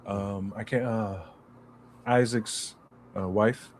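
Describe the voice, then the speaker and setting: A man co-hosting a podcast, chatting casually about a TV show with slangy, laid-back banter and drawn-out storytelling. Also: fairly low pitch, fairly even intonation, slight background noise. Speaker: podcast co-host. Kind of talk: casual chat.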